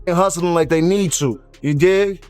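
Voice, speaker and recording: A man's voice: sits high, moderate pace, a little mellow, clean tone, soft background music. A middle-aged American man giving casual, slangy street commentary.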